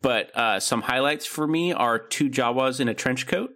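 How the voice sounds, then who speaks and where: Gravelly bright-voiced podcast host. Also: male voice, mid-range voice, normal speed. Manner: rambling, many ums and restarts. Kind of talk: casual chat.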